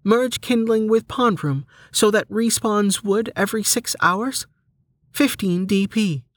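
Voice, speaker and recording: A narrator voicing a magical game menu that announces items and prices in a formal, measured, obliging way for an audiobook. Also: mid-range voice, no room sound, clean studio sound, pitched above the performer's own voice, natural voice.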